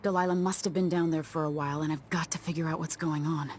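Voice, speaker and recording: Young deposed ruler for a game, played by a woman: serious, controlled, slightly low voice, faint noise behind. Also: steady tone, quick pace, close to an everyday voice. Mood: determined, urgent. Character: resolute young deposed empress. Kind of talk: video game voice acting.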